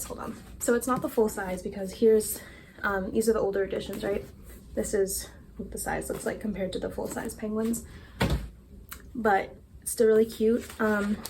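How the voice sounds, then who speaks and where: A woman's voice: mid-range voice, darkish tone, a little hiss or hum. A young woman chatting casually and rambling a little, self-deprecating, as a book vlogger. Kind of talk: casual chat.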